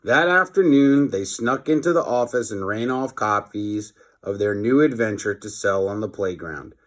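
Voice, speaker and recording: A man's voice: on the low side, lively intonation, normal speed. A man narrating a children's story expressively, like a teacher reading aloud to pupils.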